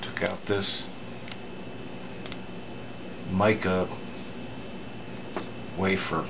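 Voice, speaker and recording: A middle-aged man giving an unscripted hands-on repair demonstration in a halting, matter-of-fact way, recorded at an indoor workbench. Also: deep voice, creaky. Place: indoor home electronics workbench. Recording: steady room hum, air-conditioning noise.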